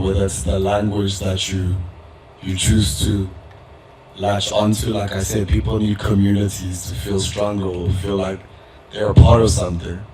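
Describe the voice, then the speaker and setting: A young man speaking reflectively in a public community discussion, measured and pausing often. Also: low-pitched, darkish tone, a little hiss or hum, low-quality recording. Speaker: audience member in a community dialogue. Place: event hall with audience.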